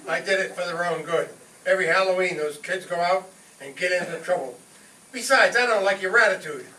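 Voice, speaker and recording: A man's voice: sits high, fairly quick, pushed and bright. A man playing a hot-headed, scheming father in an old-time radio comedy, pompous, indignant and theatrical. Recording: roomy distant stage recording, faint noise.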